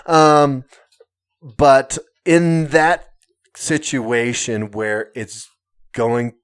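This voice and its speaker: A man's voice: mid-range voice, steady tone, very slow, clear, resonant tone. A man co-hosting a casual podcast, telling a story at length while he hunts for words and lets out a chuckle now and then. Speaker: podcast co-host.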